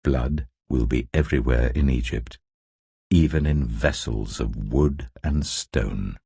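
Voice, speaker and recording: A very low-voiced man narrates scripture with solemn deliberation. His voice is strongly husky and gravelly, and he delivers the text as dramatic, scripted storytelling. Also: slow pace, mellow, no room sound. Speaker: audio Bible narrator.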